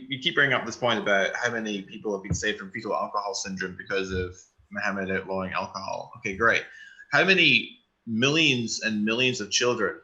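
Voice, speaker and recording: A man debating, challenging his opponent and then explaining his view in a measured, academic way. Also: fairly low pitch, pushed and bright, rough recording. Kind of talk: live online debate.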